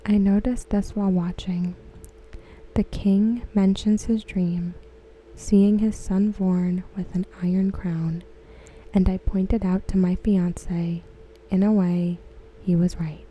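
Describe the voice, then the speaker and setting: Woman, ASMR host, hushed breathy delivery, very dark soft tone, even intonation, close mic, faint background noise. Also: medium pitch, rough recording. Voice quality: soft, breathy, near-whispered. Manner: gentle, hushed, measured delivery. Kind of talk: softly spoken ASMR read-aloud of forum comments.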